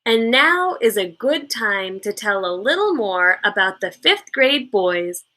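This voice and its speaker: A woman reading a children's story aloud in an expressive storytelling style, like a teacher. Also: mid-range voice, a little slow.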